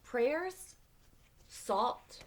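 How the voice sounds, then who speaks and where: A woman reading a children's picture book aloud with expression, pausing to ask her listeners questions. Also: on the high side, lively intonation. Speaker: read-aloud storyteller.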